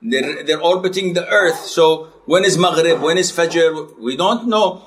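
Animated high-voiced male religious teacher. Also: measured pace. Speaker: Islamic teacher. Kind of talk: lively classroom lecture.

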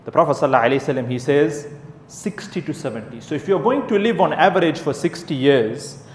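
A man's voice: fairly high pitch, lively intonation, fast, a little hiss or hum. A man preaching a sermon to a live crowd, driving points home with rhetorical questions and emphatic repetition. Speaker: Islamic preacher. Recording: echoey hall with long reverb.

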